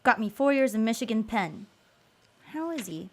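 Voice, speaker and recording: A woman's voice: a little high, animated, normal speed, darkish tone. A woman playing a sadistic gangster in a noir radio drama, menacing and taunting with slangy talk.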